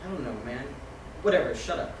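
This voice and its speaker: A man playing a defensive, awkward high school boy at a screenplay table read, in casual, hesitant teen speech. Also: lively intonation, a little fast, lightly acted. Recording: echoey, noisy room from far off.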